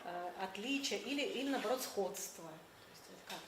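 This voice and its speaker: A woman's voice: medium pitch, expressive, moderate pace. A woman on the jury, asking hesitant, searching questions. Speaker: jury member. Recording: echoey, noisy room.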